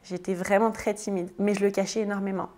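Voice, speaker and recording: A woman's voice: mid-range voice, little pitch movement, fast talker, darkish tone. A French woman in her thirties answering interview questions casually, with many hesitations and restarts. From France. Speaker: guest, tiny house builder.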